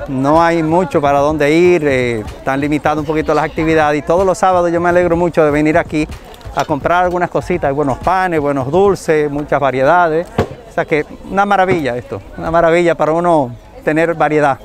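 A man's voice: on the high side, brisk. A middle-aged man, a customer, talking casually in an interview at an outdoor market. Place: outdoors on a park-like lawn.

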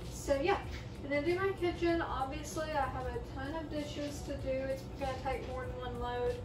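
A woman's voice: high-pitched, distant mic. A young woman, chatting casually through a home cleaning vlog with lots of ums. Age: young adult. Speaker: vlogger. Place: small house rooms, indoors. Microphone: camera on a stand, from across the room.

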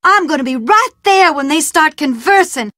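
A woman's voice: high-pitched, expressive, moderate pace, a bit bright, dry recording, clean studio sound. A woman voicing a scheming, mystical housekeeper in a video game, speaking in a drawling, theatrical, sly way. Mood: gloating, scheming.

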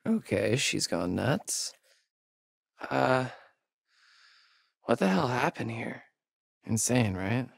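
An actor playing a sarcastic young American camp counselor in a horror video game, hesitant and conversational in delivery. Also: male voice, medium pitch, very animated, takes it slowly, gravelly, pauses often, breathy edge, no echo, clean studio sound, full character voice. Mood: sardonic. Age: young adult. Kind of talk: naturalistic horror game dialogue.

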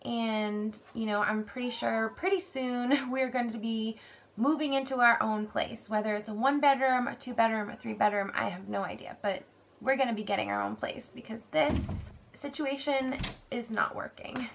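A young woman in her twenties chatting casually in a bedroom haul vlog, rambling with lots of fillers, her voice slightly hoarse. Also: fairly high pitch, fairly even intonation, moderate pace, slight background noise. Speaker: vlogger. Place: bedroom, indoors.

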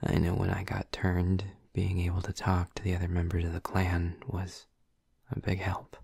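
Low, breathy, gentle vampire boyfriend, acted by a man. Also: completely flat delivery, moderate pace, dry recording, heavily acted. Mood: gentle interest. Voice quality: breathy, husky, often near a whisper. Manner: gentle, hesitant, softly attentive. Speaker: audio roleplay performer. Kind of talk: intimate audio roleplay, ASMR-like.

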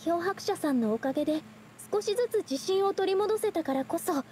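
Shy, high-voiced young painter girl. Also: expressive, fairly quick, slight background noise. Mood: grateful, earnest. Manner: soft, hesitant, polite. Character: shy, earnest, gentle young woman painter. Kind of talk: Japanese anime game voice acting.

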